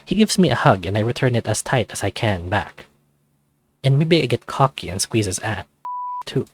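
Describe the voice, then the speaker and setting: Low-voiced, playful wolf guy read by a man. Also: sing-song, moderate pace, a little hiss or hum. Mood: cocky, playful. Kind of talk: first-person visual novel narration and dialogue.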